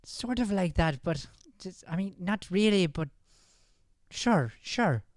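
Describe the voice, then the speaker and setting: A man's voice: high voice, expressive, slow, no room sound, clean studio sound. A man playing a shy, solitary young boy in an improvised radio play, naive and tentative, with an Irish accent. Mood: hesitant. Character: Cedric, shy solitary boy who likes humming. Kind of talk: improvised old-time radio play acting.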